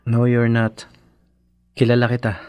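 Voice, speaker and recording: A man's voice: mid-range voice, monotone, a little slow, a little mellow, smooth, faint music behind, lightly acted. A man acting a devoted, pleading boyfriend in a Filipino radio drama, earnest and emphatic. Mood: gentle, concerned. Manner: earnest, emphatic, mixes in English.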